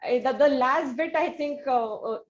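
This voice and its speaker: A woman speaks as an interview host. Her voice is a little high and her phrasing measured and articulate, on a slightly dull recording. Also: slight background noise. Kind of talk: interview chat.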